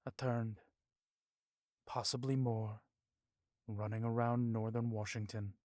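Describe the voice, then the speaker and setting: An actor playing a stern, cautious vampire police chief in an audio drama, speaking gravely with some Spanish words mixed in. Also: male voice, on the low side, level tone, takes it slowly, a little mellow, no echo, studio quality. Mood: stern, probing.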